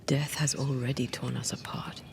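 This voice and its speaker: An actress playing a haunted, grave ghost woman in a game cutscene, speaking in a hushed, measured way. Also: rumbling low, level tone, vocal fry, whispered. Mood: pained. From Cuba. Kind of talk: dramatic game cutscene acting.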